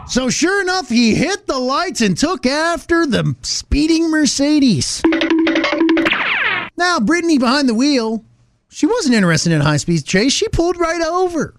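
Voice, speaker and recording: An American man, middle-aged, hosting morning radio with animated, emphatic, mock-serious comic banter and storytelling. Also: extremely high pitch, unhurried, over loud music. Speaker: radio morning show host.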